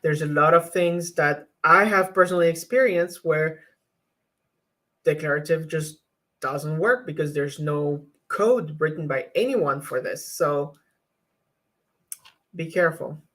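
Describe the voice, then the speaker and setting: A woman's voice: deep voice, measured pace, pauses often, a bit bright. A woman whose first language is French, chatting casually in a vlog and giving candid, practical advice with frequent restarts. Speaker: YouTuber teaching cloud infrastructure.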